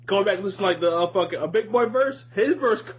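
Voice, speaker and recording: A man's voice: high voice, expressive, fast, husky. A man co-hosting a podcast, chatting casually about music in a slangy, profane, emphatic way. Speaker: podcast co-host. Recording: dull lo-fi audio, audible background noise.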